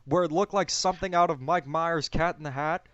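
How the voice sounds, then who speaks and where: A man's voice: a little high, a little fast, a bit bright, no room sound, highs cut off. A young man speaking as a discussion host in a casual, conversational style. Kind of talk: casual chat.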